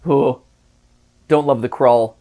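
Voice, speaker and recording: Animated jokey man hosting a reaction. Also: mid-range voice, takes it slowly, noisy background. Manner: animated, jokey, breaks into silly voices. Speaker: host. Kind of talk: casual reaction chat.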